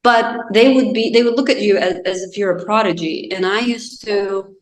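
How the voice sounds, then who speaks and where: A woman's voice: medium pitch, moderate pace. A woman, speaking English as a later language as an interview guest. She thinks aloud in a reflective way, using plenty of "you know" and "like" as she goes. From Azerbaijan. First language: Azerbaijani. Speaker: guest author. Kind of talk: casual interview chat. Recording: roomy echo.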